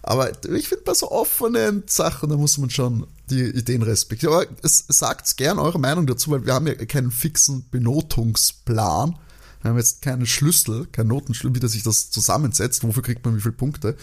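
Casting: male podcast co-host, Austrian accent, mid-pitched voice, casual, likes to joke and argue his case. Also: expressive, brisk, breathy edge. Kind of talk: casual banter.

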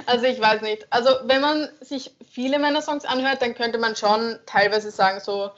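A young woman talks through her songwriting in a relaxed interview, pausing and searching for the right words. Her voice sits a little high. The recording is dull and lo-fi. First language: German. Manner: hesitant, searching for words, laughs often. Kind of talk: casual interview answers. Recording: dull lo-fi sound.

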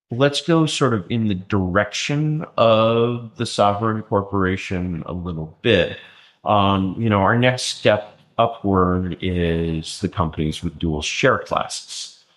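Deep-voiced man explaining at length. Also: a bit bright. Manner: lecturing, with frequent ums and self-restarts. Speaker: interview guest. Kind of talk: explanatory conversational talk.

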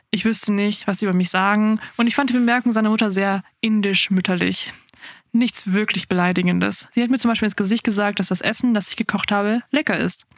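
A woman's voice: medium pitch, no echo. Woman with Afrikaans as first language, dry close sound. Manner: giggly, relaxed, reads in a lively storytelling way. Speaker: podcast co-host. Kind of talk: casual chat, reading a story aloud.

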